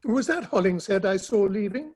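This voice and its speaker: A man as a husky, sceptical Victorian gentleman. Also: high voice, expressive, moderate pace. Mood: curious. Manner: probing, polite, sceptical. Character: cautious, sceptical Victorian gentleman friend of a playwright. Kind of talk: dramatic play reading.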